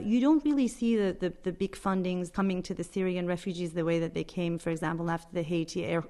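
Low-pitched woman reporter explaining in an interview. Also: a little mellow. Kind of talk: spoken radio interview, explanatory.

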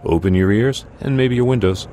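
Male documentary narrator, very low register, scripted delivery, music bed underneath. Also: a little fast.

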